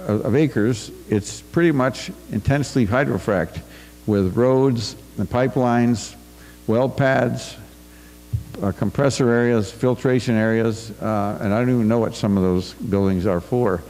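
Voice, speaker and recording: A man's voice: medium pitch, unhurried, darkish tone, soft background music. An older American man reads a prepared talk into a podium microphone at a farm forum in a school auditorium. He stumbles and restarts now and then. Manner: reads from paper, with restarts and asides. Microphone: podium mic on a stand. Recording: reverberant auditorium.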